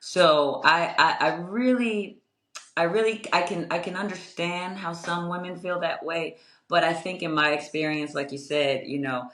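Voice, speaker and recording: A young adult woman with a strong voice, answering interview questions in a measured, reflective way with many fillers. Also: fairly even intonation, a bit bright, a little hiss or hum. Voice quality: strong, low-ish voice. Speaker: guest, construction company owner. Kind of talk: interview conversation. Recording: echoey room.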